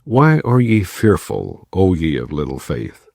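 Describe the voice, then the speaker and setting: A man reading the lines of a grave male teacher who speaks with authority, in a measured, solemn way. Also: deep voice, sing-song, a little mellow, no room sound, studio quality, full character voice.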